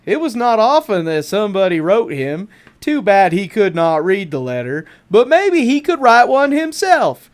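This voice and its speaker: A woman reads a picture book aloud for children. Her voice sits fairly high and swings widely up and down. She narrates expressively, stretching out words for emphasis. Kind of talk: animated read-aloud bedtime story narration.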